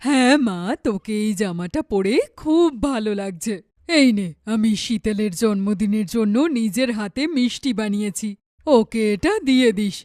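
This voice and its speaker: A woman's voice: medium pitch, moderate pace, darkish tone, no echo, clean studio sound, natural voice. A woman voicing a schoolgirl's mother in a children's cartoon. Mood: affectionate.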